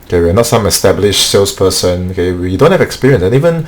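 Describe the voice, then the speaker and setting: Deep-voiced man coaching sales. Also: lively intonation, fast talker. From Singapore. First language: not English. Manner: earnest, repetitive, peppers speech with "okay". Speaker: sales trainer hosting weekly live training. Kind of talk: motivational live-stream training.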